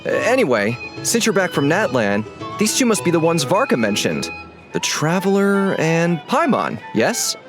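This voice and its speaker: A man's voice: fairly high pitch, huge pitch swings, moderate pace. A man voicing a friendly male tavern owner in a video game, talking in an easygoing, wry, amused way. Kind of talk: game voice acting. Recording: game dialogue over background music.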